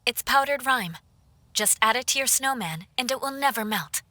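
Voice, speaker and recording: A woman's voice: a little high, animated, moderate pace, background noise, natural voice. An actress playing a poised, helpful, matter-of-fact young woman in a video game cutscene. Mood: composed, helpful.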